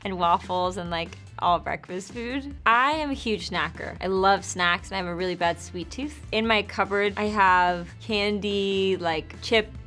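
A woman's voice: mid-range voice, a little slow, hardly any pauses, a bit bright, soft background music. Chatty young woman with a light laugh. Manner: chatty, laughs often, filler "like". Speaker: interviewee. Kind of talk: casual chat to camera. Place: indoor studio before a dark backdrop.

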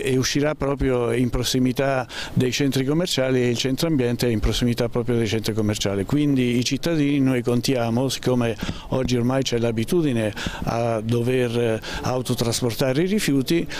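A man, speaking haltingly as a mayor in an on-location interview. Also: medium pitch, a little mellow. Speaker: town mayor. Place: outdoors at building entrance. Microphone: handheld reporter microphone. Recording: clear background ambient noise.

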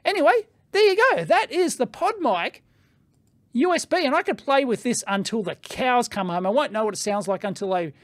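A middle-aged man with an Australian accent, reviewing gear in a casual, excitable way, thinking aloud and laughing at himself in his electronics lab on a studio microphone. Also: very high-pitched, normal speed, no room sound. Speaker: electronics video host. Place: cluttered electronics lab indoors. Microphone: studio microphone on a stand.

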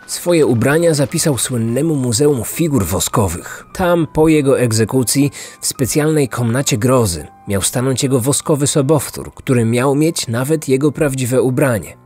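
A man with a mid-pitched voice narrates true crime from a script. He is a measured storyteller and podcast host, with faint music underneath. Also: darkish tone, breathy edge.

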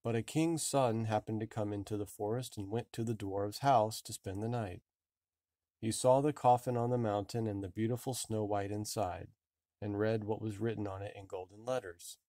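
A man reading a fairy tale aloud as an expressive storybook narrator. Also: lowish voice, moderate pace, dry recording, studio quality. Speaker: storybook reader.

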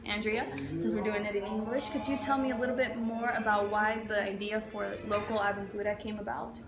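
A woman's voice: medium pitch, flat delivery, brisk, background noise. A young woman hosting a casual, conversational interview. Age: young adult. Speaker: interviewer and vlog host. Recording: music behind the voice.

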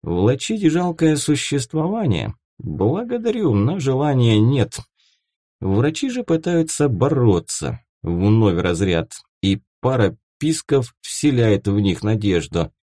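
A man narrating fiction from a script as an expressive audiobook reader. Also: mid-range voice, no echo, clean studio sound.

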